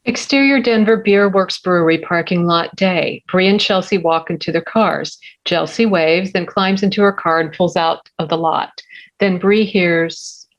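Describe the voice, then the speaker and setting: A woman's voice: on the low side, a little slow. A woman reading stage directions aloud as scripted narration at a table read. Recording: dull, lo-fi microphone sound.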